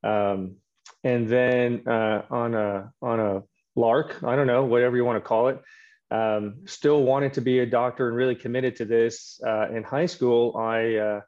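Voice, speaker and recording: A man in his fifties from Canada talks through his life story as an interview guest. He wanders, restarts and fills gaps as he goes, at a middle pitch. The sound is somewhat dull and lo-fi. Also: fairly even intonation, a little slow. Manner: rambling, many fillers and restarts. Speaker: guest surgeon.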